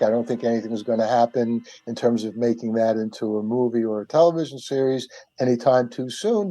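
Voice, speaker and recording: An older man speaks as a guest on a radio discussion. His voice is mid-pitched and his talk is casual, drifting into stories, with faint music underneath. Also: mellow, poor audio. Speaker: radio panel guest, author.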